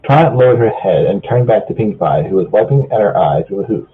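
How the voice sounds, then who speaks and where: A man with a husky voice narrates a story informally, stumbling over lines and rereading them. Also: lowish voice, expressive, quick pace. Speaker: narrator of a group fan fiction reading. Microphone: online voice chat. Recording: muffled, phone-like voice chat audio.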